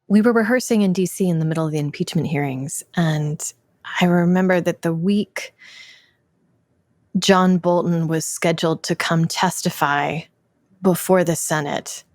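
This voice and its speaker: A woman with a lowish voice tells a reflective anecdote in unscripted speech. She repeats phrases for emphasis and corrects herself as she goes. The sound is close and dry. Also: moderate pace, a little mellow, no room sound. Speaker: theatre artist discussing a production.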